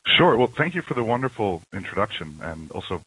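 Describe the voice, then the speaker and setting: Deep raspy new guy on a phone line, friendly, chatty. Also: very animated, brisk, pushed and bright. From New York. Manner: friendly, chatty with light laughs. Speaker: company CEO guest. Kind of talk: phone-in interview. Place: at home at night.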